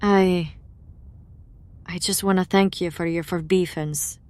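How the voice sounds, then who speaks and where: An actress playing a reserved, secretive but caring friend in an audio drama, speaking earnestly. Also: female voice, lowish voice, steady tone, slow, clean tone, no echo. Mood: apologetic, earnest. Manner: earnest, gentle wording.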